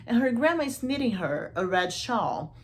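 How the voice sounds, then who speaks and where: A woman's voice: a little high, very animated, normal speed, a little hiss or hum. A woman hosting a casual, chatty literature analysis, informal and playful with asides to the viewer. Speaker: host of a literature video series.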